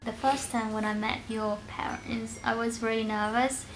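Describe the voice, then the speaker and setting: A woman's voice: on the high side, steady tone, moderate pace, poor audio. A young woman from Vietnam with a Vietnamese accent, chatting casually in a couple's Q&A video. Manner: chatty, acts out her own worried questions. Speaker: vlogger. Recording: background music under the talk.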